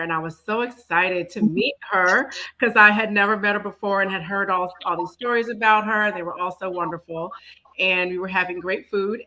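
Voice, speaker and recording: A woman with a bright, forward voice at a middle pitch hosts a casual talk. She is effusive and chatty, telling things playfully as she welcomes people. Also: poor audio. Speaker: talk show host. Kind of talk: casual welcoming conversation and introduction.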